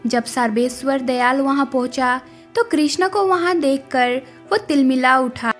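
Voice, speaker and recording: A woman narrates a scripted moral tale. Her voice sits high, and light music plays underneath. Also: a little slow. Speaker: story narrator.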